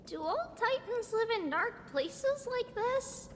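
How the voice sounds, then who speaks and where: A woman voicing a small, excitable, childlike girl in a video game, exclamatory and referring to herself by name. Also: extremely high pitch, takes it slowly, big-room sound, slight background noise, heavily acted. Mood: puzzled, unsure. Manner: refers to self in third person, exclamatory. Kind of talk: video game character acting.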